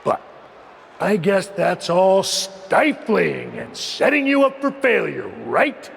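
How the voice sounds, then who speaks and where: A stern American wrestling veteran in a video game, heavily gravelly and scolding. His delivery is slow and swings widely in pitch. The words boom through long arena reverb. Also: sing-song, heavily acted. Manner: emphatic, scolding. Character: exasperated, stern veteran wrestler turned boss. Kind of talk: wrestling promo acting. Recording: booming arena reverb, faint noise.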